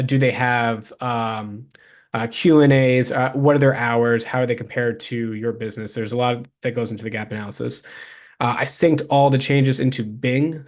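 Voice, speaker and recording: A man giving an informal explanatory presentation as a consultant, speaking directly to the viewer with practical advice. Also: mid-range voice. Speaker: local SEO consultant presenting a case study.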